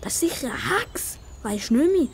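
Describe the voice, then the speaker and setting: A tiny scared kid in a fairy tale play, really high swoopy voice, jittery, some forest noise. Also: female voice, sing-song, moderate pace. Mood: anxious, pleading. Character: small, frightened, anxious child lost in the forest. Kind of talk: children's detective fairy-tale audio drama.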